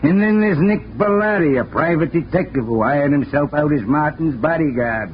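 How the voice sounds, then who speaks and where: A gruff, curt police lieutenant, voiced by an actor in an old radio play, cynical and blunt with a fairly high-pitched voice. The sound is dull and lo-fi under a faint hiss. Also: male voice, animated, moderate pace, lightly acted. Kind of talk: 1940s radio acting.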